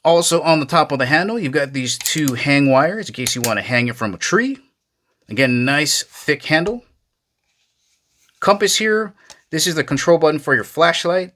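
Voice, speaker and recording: A man with a bright, forward voice presents a product review. His pitch moves up and down a lot as he goes. He keeps the wording casual and folksy, speaking straight to the viewer. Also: animated, moderate pace. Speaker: product reviewer, video host. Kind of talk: casual hands-on gadget review narration.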